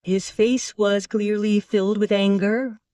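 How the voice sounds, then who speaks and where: A woman's voice: mid-range voice, steady tone, unhurried, warm tone, no echo, clean studio sound, far from the mic. A woman reading scripted storybook narration.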